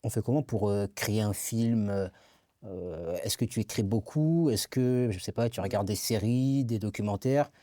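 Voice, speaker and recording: A man's voice: on the low side, moderate pace, mellow, no room sound, clean studio sound. A man hosting a casual interview, asking questions and giving brief replies.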